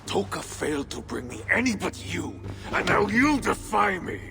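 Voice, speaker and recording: A hot-tempered Viking chieftain. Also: male voice, high voice, very animated, moderate pace, vocal fry, over music, heavily acted. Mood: angry. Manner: angry, commanding outbursts. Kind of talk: video game cutscene. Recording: game audio in a reverberant space.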